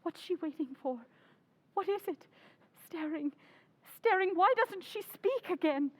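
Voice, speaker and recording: A woman acts a domineering, anxious wife in a radio horror play. Her voice is high and swings dramatically in pitch, scornful and imperious though full of fear. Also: expressive, unhurried. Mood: anxious dread. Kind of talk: old-time radio horror acting.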